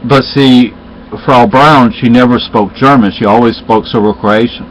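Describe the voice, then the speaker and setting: A man's voice: mid-range voice, steady tone, a little hiss or hum. Rambling middle-aged man. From Spain. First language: Spanish. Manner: rambling, halting, with many restarts. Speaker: storyteller in casual conversation. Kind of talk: casual chat. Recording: dull lo-fi recording.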